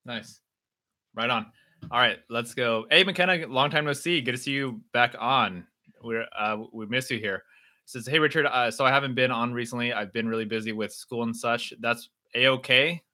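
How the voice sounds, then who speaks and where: A man's voice: medium pitch, normal speed, pushed and bright. A young man whose first language is Mandarin hosting a casual livestream Q&A, upbeat and teasing, reading viewer comments. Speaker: host. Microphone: video livestream.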